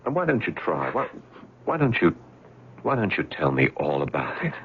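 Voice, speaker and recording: Deep-voiced, probing doctor. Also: male voice, sing-song, normal speed, very noisy. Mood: gentle probing. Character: earnest, probing male doctor, protective and insistent. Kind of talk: 1970s radio drama acting. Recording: lo-fi 1970s radio broadcast.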